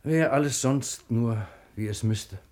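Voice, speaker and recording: An actor playing a proud, careworn sultan in a 1950s German radio play, with declamatory stage diction. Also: male voice, mid-range voice, slow pace, darkish tone, close to an everyday voice. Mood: troubled, bitter. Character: proud, generous, careworn sultan, devoted brother.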